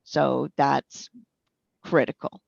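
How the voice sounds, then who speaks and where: A woman being interviewed as a software trainer, explaining things in a conversational, instructive way. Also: a bass voice, little pitch movement, very slow, vocal fry, husky. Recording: boxy roomy echo, slightly dull sound.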